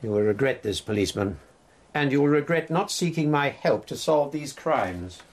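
Sanctimonious court priest: husky, haughty, needling, very animated delivery for radio drama. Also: male voice, mid-range voice, moderate pace. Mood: indignant. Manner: pompous, needling, moralising. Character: haughty, sanctimonious male palace priest, scolding and insinuating.

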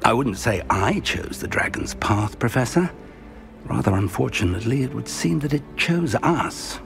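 An actor voicing a kindly professor and mentor in a game cutscene, speaking in a measured, confiding way. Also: male voice, mid-range voice, lively intonation, vocal fry, a bit bright. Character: kindly, measured middle-aged male professor, guarded mentor. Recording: game cutscene with music behind.

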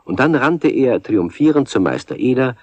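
A man's voice: medium pitch, animated, moderate pace, dry recording. A man narrating a scripted children's radio story as the storyteller.

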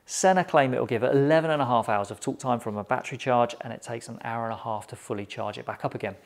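Gravelly middle-aged British man presenting a review. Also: mid-range voice, fast talker. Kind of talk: semi-scripted product review to camera. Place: small indoor shop studio.

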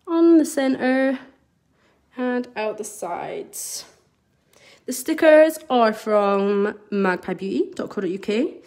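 A woman's voice: fairly high pitch, lively intonation, slow pace, a little mellow. A woman from Scotland with a mild Scottish accent, a nail artist giving a live tutorial and chatting to viewers as she works, recorded on a phone over her desk. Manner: chatty, reads out viewer comments while working. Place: indoor nail studio desk. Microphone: phone camera over the work table.

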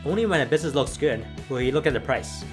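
Fast-talking male commentary narrator. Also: mid-range voice, animated. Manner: plain explanatory delivery. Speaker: channel narrator. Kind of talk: scripted voice-over commentary. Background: background music.

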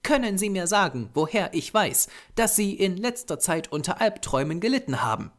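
A small, lean Japanese doctor of the occult , acted out by a man reading an audiobook. The voice is raised high and moves about a lot. He lectures patiently and formally, with earnest insistence. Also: expressive, moderate pace, a bit bright. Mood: lecturing. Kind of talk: audiobook character voice.

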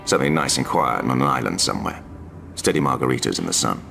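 An actor playing a jaded, middle-aged secret agent in a video game, dry, blunt and weary. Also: male voice, very deep voice, animated, fast, creaky, over music, full character voice. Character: jaded, hard-bargaining veteran male secret agent. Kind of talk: video game cutscene acting.

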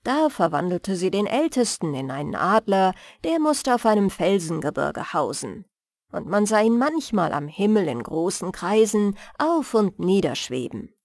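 A woman reading a fairy tale as a bedtime audiobook, in a measured, soothing manner. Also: medium pitch, very animated, a little mellow, dry recording. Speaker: storyteller and audiobook reader.

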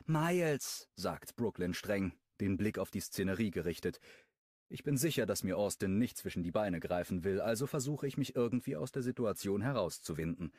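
A man narrating a first-person romance audiobook in a wry, expressive way, on a close studio microphone. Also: low-pitched, brisk, slightly rough, no room sound.

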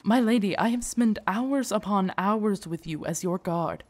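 A woman's voice: medium pitch, unhurried, close to an everyday voice. A woman playing a devoted, earnest female knight in an audio drama, speaking in a formal, courtly way that turns hesitant and shy. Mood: earnest, reassuring. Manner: formal, courtly, hesitant and stammering when flustered. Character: devoted female knight, tender, earnest, shyly lovestruck royal guard. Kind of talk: roleplay audio drama, intimate scripted monologue.

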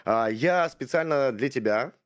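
Animated man in his thirties, halting and gallant. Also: medium pitch. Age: 30s. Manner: hesitant, full of fillers, mock-gallant. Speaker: show contestant flirting with a guest. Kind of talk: playful mock-romantic chat on a stream. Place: streaming room with purple lights. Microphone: studio mic on arm, headphones.

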